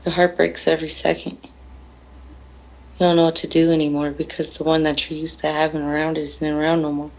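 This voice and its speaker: A woman's voice: low-pitched, flat delivery, normal speed, poor audio. A woman giving a plain-spoken, sorrowful personal account in casual words. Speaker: bereaved mother.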